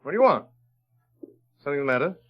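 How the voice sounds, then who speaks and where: Casting: imperious middle-aged widower, very animated delivery, 1940s radio acting, dull old recording. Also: male voice, a little high, fast. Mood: abrupt. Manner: abrupt, imperious. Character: brusque, brooding, commanding wealthy widower. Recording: dull vintage radio recording, music behind.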